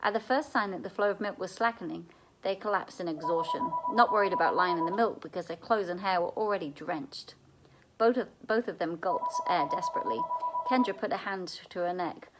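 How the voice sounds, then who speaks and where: A woman reading a children's novel aloud in an expressive storytelling way, as at a library read-aloud. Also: on the low side, fairly quick, very noisy.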